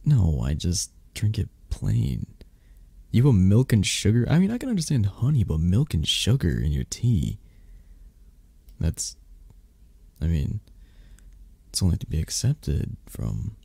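A man acts out a drowsy, flirtatious mafia boss in a romantic ASMR roleplay. His very low voice is gravelly, and he takes his time, with hesitant pauses. The delivery swings expressively in pitch while staying intimate, possessive and tender. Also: wildly swooping pitch, mellow, no echo, exaggerated voice. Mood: playful, coaxing. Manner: slow, hesitant, murmured endearments with pauses. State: tired, drowsy. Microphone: close microphone.

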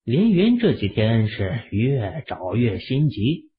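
A man with a raspy voice narrating an audio drama in a steady storytelling manner. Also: medium pitch, takes it slowly, dull audio.

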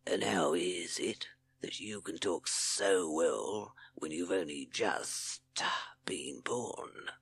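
A woman voicing a sly, lonely storybook snake in a breathy, drawn-out whispered hiss. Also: rumbling low, full character voice. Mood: sly, secretive. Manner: slow, drawn-out sibilant delivery. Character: hissing sly snake, lonely, softly wheedling.

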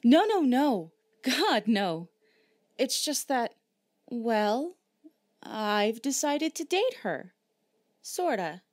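A woman's voice: a little high, big pitch swings, drawn-out words, long pauses, dry recording, clean studio sound, heavily acted. An actress playing a flustered young woman, a casual older sister, with hesitant delivery in an audio fan drama.

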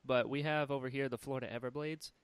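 A young man from North Carolina hosting a podcast, upbeat and quick to laugh, often repeating his words. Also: medium pitch, steady tone, smooth. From North Carolina, USA. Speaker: podcast host. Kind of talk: casual podcast chat.

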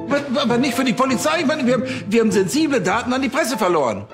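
Indignant fussy cop, swooping high voice, very echoey distant sound, music underneath. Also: male voice, big pitch swings, rapid-fire. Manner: indignant, bossy. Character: fussy, flustered male police officer attached to his gadget. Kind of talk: TV crime comedy acting. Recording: echoey room, distant mic, music behind.

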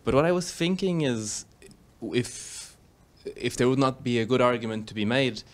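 A man's voice: medium pitch, expressive, normal speed. A man from Portugal asking a question at a lecture, careful and hesitant in his phrasing. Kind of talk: audience question.

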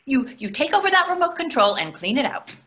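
An older actress plays a querulous, hungry wife in a comedy play. Her voice is fairly high and very bright, with lively swings of pitch. She keeps questioning and needling her husband. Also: fairly quick, a little hiss or hum. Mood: irritated. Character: craving, hungry, nagging wife dining out. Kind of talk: readers theatre comedy acting. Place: indoor room on a video call. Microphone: video call microphone.

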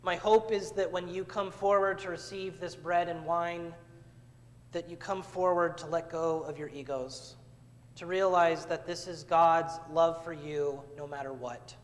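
A man serving as pastor, leading the communion liturgy in a measured, solemn way, recorded from a far camera in a church sanctuary. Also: fairly high pitch, fairly even intonation. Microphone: far camera at back of church. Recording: church livestream with long reverb.

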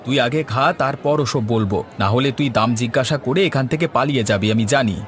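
A man's voice: mid-range voice, fast talker, faint music behind, some chatter behind, lightly acted. A man playing an easygoing, teasing village biryani seller in a cartoon. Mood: friendly, teasing. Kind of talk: Bengali cartoon dialogue.

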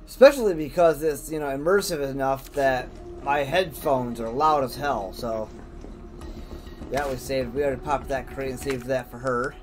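A man's voice: mid-range voice, normal speed, noisy background. A man giving casual let's play commentary, talking to himself in folksy slang with some mild swearing. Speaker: gamer, video host. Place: indoors, over game audio.

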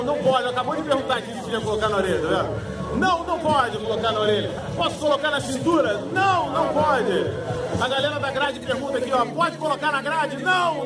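High-pitched hyped male race announcer. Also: far from the mic. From Brazil. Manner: hyped, projecting to a crowd, drawn-out words. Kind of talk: live sports event announcing. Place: outdoor running event in a park. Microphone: handheld microphone. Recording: outdoor PA, loud music and crowd.

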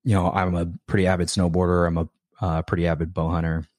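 A man's voice: deep voice, level tone, fast talker, darkish tone, dry recording, clean studio sound. A man in his thirties chatting as a podcast guest, easygoing, laughing often and rambling with restarts. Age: 30s. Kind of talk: casual interview chat.

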